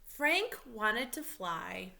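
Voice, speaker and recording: A woman reading a picture book aloud like a teacher, pausing to explain and ask questions of the listeners. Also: fairly high pitch, big pitch swings, creaky, pushed and bright. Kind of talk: expressive storybook read-aloud with teaching asides.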